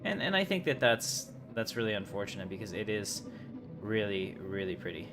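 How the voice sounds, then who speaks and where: A man's voice: fairly low pitch, moderate pace, music in the background. A man chatting casually with viewers as he livestreams and comments on his gameplay. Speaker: game livestreamer. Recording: steady noise behind the voice.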